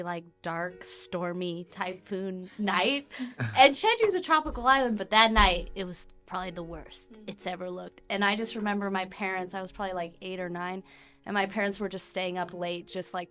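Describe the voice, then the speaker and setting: A woman in her twenties, telling stories in a relaxed way as an interview guest. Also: mid-range voice, normal speed, soft background music. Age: 20s. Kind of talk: casual interview storytelling.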